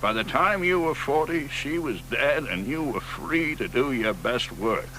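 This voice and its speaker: An actor playing a scornful, grandiose older poet in a radio play, mocking and theatrical, often dropping to a whisper. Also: male voice, mid-range voice, lively intonation, unhurried, pushed and bright, rough recording. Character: aging male poet, scornful, grandiose, teasing. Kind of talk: radio drama acting. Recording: broadcast radio play with noise and hum.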